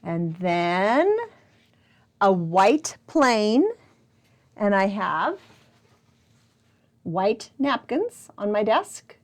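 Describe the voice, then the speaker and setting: Animated, unhurried woman leading children's storytime. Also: medium pitch. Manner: playful, prompting listeners with questions; exaggerated wondering and exclamations. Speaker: children's librarian and storytime host. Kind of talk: picture book storytime for young children. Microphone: speaking close to a camera.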